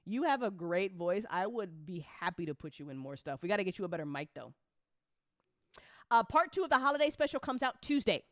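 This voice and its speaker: A woman hosting a casual livestream chat as an audio drama creator, animated and joking, with informal, sweary talk full of y'all. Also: on the low side, brisk, no echo, clean studio sound. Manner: informal speech with frequent y'all. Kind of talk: casual livestream chat answering audience questions.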